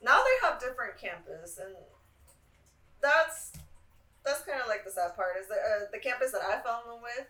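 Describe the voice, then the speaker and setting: A woman's voice: on the high side, sing-song, normal speed, bright, forceful tone. A woman of twenty-five, an art student, chatting casually in an interview and laughing often between rambling answers. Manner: giggly, rambling with frequent laughs. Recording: roomy sound from a distant mic.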